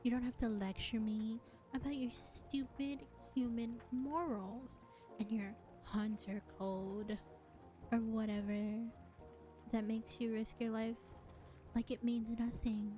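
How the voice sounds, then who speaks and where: A woman playing a world-weary, powerful vampire in a scripted audio roleplay, deliberate and condescending, speaking to the listener as a reluctant ally. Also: a little high, fairly even intonation, warm tone, faint music behind. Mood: exasperated. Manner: deliberate, exasperated, sighing, condescending.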